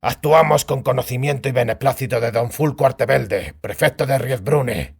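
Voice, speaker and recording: A man voicing a cold, controlled witcher in a fantasy audiobook, speaking in a measured, deliberate way. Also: mid-range voice, gravelly, breathy edge. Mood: cool diplomacy. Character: cold, controlled male witcher bargaining for time. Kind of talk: dramatized fantasy audiobook dialogue.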